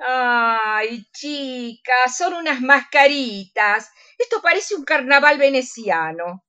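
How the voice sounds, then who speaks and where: A woman acts out a prim older Argentine widow in a radio comedy. Her bright voice swings through lively pitch as she fusses and coaxes, meek and self-sacrificing. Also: slow, full character voice. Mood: fussing, coaxing. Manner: coaxing, chatty, fond of diminutives. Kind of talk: radio theatre comedy acting.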